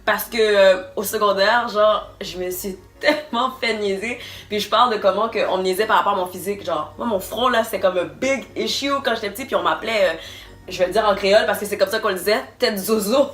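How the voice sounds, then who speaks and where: A woman's voice: mid-range voice, a little fast, a bit bright. A young woman with a Quebec French accent, chatting casually and laughing through a personal story. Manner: laughing, filler-heavy storytelling. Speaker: co-host. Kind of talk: casual chat. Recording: echoey room with faint music.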